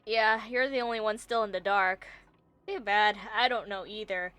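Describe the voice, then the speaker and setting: A woman's voice: medium pitch, fairly even intonation, moderate pace, fairly bright tone, smooth, no room sound. A woman live streamer giving casual, reactive commentary on a game, playful and muttering to herself.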